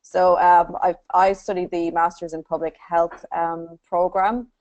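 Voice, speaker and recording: A woman's voice: lowish voice, flat delivery, unhurried, darkish tone, highs cut off. Cheerful Irish woman on a panel. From Ireland. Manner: cheerful, laughs often. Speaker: graduate panelist. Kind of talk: casual panel chat.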